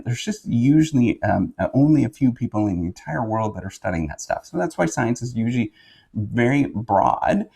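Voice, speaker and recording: A man with a Canadian accent giving a casual explainer monologue as a professor, in a halting, rambling way, correcting himself and checking in as he goes. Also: lowish voice, vocal fry, a little mellow, slightly rough. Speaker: professor and video host.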